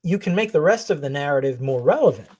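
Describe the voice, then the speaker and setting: Low voiced woman coach, grew up in israel, earnest, laughs a lot. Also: lively intonation, darkish tone, slightly rough. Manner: earnest, laughs often, slow and thoughtful. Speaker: coach, interview guest. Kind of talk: reflective interview talk.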